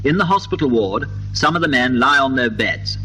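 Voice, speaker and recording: A British man delivering formal, precise, measured scripted narration for a 1950s documentary, on an old film soundtrack. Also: medium pitch, expressive, sharp tone, soft background music, poor audio.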